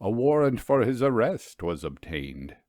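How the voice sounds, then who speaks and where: A man reading a literary ghost story aloud in a measured, deliberate storytelling manner, with dramatic pauses. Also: mid-range voice, very animated, a little mellow, no echo. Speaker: audiobook story reader.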